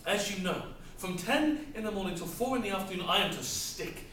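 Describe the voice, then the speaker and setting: A high, bright voice carries as a man plays a desperate, put-upon clerk and father, gasping for breath and pleading before erupting in tirades. It rings from far off across a very echoey hall with faint background ambience. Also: expressive, fairly quick, slight background noise. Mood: weary. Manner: pleading, gasping, ranting in outbursts. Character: harried overworked family man, desperate and breathless. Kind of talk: theatrical stage acting.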